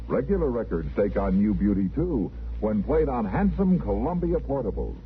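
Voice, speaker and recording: A man's voice: on the low side, big pitch swings, moderate pace. A man with an American accent reading a polished 1950s radio commercial as announcer. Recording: tinny narrow-band vintage radio, faint music.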